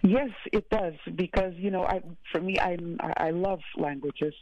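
A woman from Portugal, a musician guest in a radio interview, talking in a halting way with many fillers and restarts. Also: lowish voice, darkish tone, no room sound, highs cut off. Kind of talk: casual interview.

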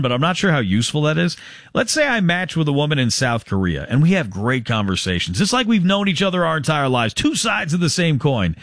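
A wry American man chats as a radio DJ in a slightly high, very animated voice. It is light comic banter, recorded dry. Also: dry recording.

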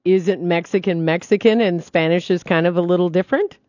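A co-host on a radio show, chatty and quick to react with short remarks. Recorded close with no room sound. The sound is a little dull. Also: medium pitch, darkish tone, no echo. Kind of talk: casual radio banter.

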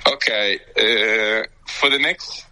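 A man's voice: fairly low pitch, slow pace, pushed and bright, poor audio. A young Australian man in his twenties, a guest speaking candidly and casually in a radio interview. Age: 20s. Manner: candid, casual, loose with fillers like "you know".

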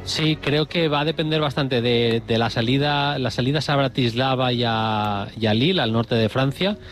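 A man's voice: mid-range voice, moderate pace, faint music behind. A man giving off-the-cuff football analysis.

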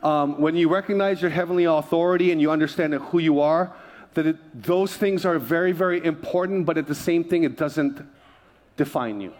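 Male pastor from Hawaii, higher-than-average pitch, sermon delivery, emphatic and repetitive. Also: moderate pace, a little mellow. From Hawaii, United States. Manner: emphatic, repeats phrases, prompts the listeners. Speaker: pastor preaching to a congregation.

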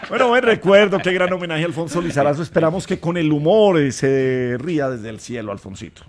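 A man hosts a studio talk show in a brisk, joking way. His voice runs a little high, and he keeps nudging his guests and the segment along. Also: lively intonation. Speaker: host.

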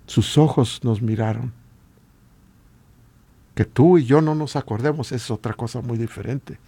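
A man with a Latin American Spanish accent and a husky voice, preaching informally and emphatically with repeated rhetorical questions. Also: mid-range voice, measured pace, dark tone. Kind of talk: informal exhortation sermon.

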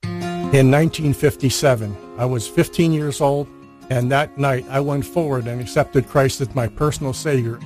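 A man's voice: medium pitch, normal speed, a little mellow, soft background music. An older man whose first language is Hungarian, a retired missionary telling his story in an earnest, recorded interview.

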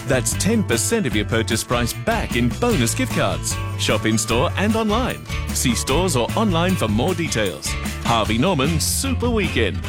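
A male voice-over reading a retail advertisement in a brisk, promotional way. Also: medium pitch. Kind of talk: scripted ad read.

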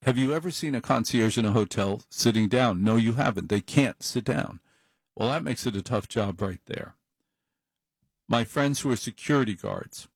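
A man's voice: mid-range voice, moderate pace, husky, no room sound. A middle-aged man hosting an overnight call-in radio show, talking in a rambling, chatty, self-deprecating way into a studio microphone. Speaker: late-night radio talk show host. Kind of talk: casual monologue on call-in radio.

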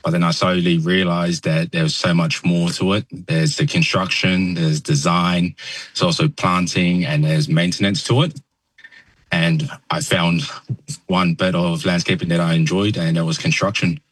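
Young man, New Zealand accent, very low husky voice, quiet and halting, dry close sound. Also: level tone, no echo. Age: young adult. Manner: soft-spoken, halting, many ums. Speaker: guest landscaper. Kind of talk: radio interview answers.

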